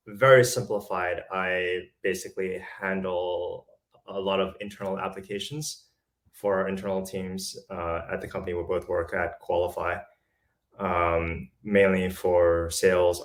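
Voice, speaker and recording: A man's voice: low voice, flat delivery, unhurried. A man from South Africa, a podcast guest talking casually and thoughtfully, often repeating words as he finds his thought. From born in Lesotho, raised in South Africa. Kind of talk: casual conversation.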